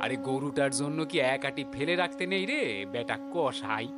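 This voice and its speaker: An actor with a Bengali accent playing a haughty, blustering Brahmin priest who scolds and mocks in an audio drama. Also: male voice, mid-range voice, expressive, over music, lightly acted. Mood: mocking. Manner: blustering, sarcastic, drawn-out calls and exclamations. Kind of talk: audio story drama acting.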